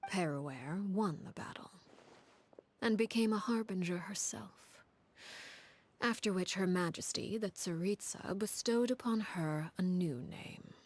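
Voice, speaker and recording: Casting: cold, commanding game harbinger woman, low-pitched, hushed and breathy, measured storyteller. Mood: cold, resolute. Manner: measured, solemn, deliberate. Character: composed, cold, commanding woman harbinger telling her grim past. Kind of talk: dramatic storytelling in a video game.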